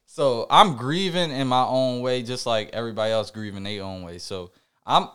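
A young man co-hosting a podcast, talking in a reflective, halting way with many fillers and restarts. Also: mid-range voice, a bit bright, clean tone. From Puerto Rican family background. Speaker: podcast co-host. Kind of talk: casual podcast chat.

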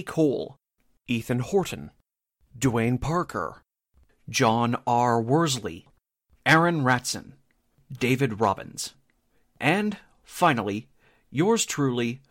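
A male announcer delivers a scripted radio-style sign-off. His pitch moves around a great deal, giving the read a lively, varied shape, while sitting at an ordinary male height. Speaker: announcer of an audio drama podcast.

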